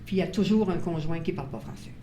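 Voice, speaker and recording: A woman presents to a room of parents, explaining step by step and often checking that they follow. Her voice is mellow and soft-edged. It carries the echo of a meeting room, picked up from a far mic with faint noise behind. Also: deep voice, animated, rapid-fire. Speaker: workshop presenter on child bilingualism. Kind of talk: live workshop talk to parents. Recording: roomy sound from a distant mic, faint noise.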